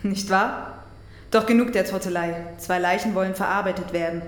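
A woman acting in a crime radio play as a cannibal who poses as a male butcher, formal and calmly menacing. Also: mid-range voice, normal speed, natural voice. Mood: smug, affectionate. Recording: echoey room.

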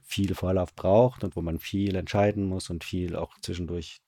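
Male guest, deep and husky, conversational, halting explanations, close dry sound. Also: steady tone, dark tone, no room sound. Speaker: radio drama director, interview guest. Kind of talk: casual explanatory interview talk.

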